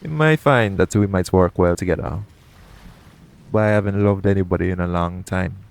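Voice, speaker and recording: Man playing low-voiced pirate captain. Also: normal speed, gravelly. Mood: guarded, wistful. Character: shady, guarded pirate captain, roguish yet gentle. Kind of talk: intimate audio roleplay acting addressed to the listener.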